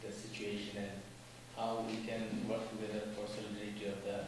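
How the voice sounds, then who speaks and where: Male union representative, Bangladeshi accent, flat and formal, recorded at a distance with clear noise. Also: on the low side, a little fast, heavy reverb. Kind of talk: self-introduction at a meeting.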